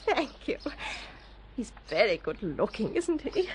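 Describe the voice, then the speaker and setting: An actress playing a lively young aristocratic lady with an upper-class English accent, teasing and expressive, in a radio drama. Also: a little high, slow, slight background noise.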